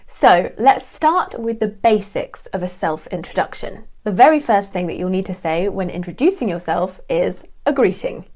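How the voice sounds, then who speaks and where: Young English woman, British accent, clear deliberate teacher voice, friendly online lesson read. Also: medium pitch, darkish tone. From England. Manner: clear, deliberate teaching delivery with light laughs.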